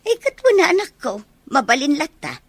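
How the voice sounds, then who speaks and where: A woman playing an affectionate, excitable older mother in an Ilocano audio drama, speaking expressively. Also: sits high, fairly quick, no room sound. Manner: expressive, exclamatory.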